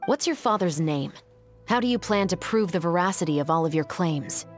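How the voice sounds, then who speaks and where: A woman's voice: fairly low pitch, expressive, a little fast, faint music behind, lightly acted. A woman playing a stern, dutiful police captain in a video game, firm and curt. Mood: challenging.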